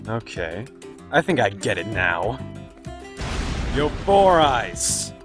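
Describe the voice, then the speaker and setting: A man's voice: on the low side, sing-song, slow. A man voicing a brash, hot-headed teenage schoolboy in an anime fan dub, slangy and exasperated. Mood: cocky, sly. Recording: background music under the voice.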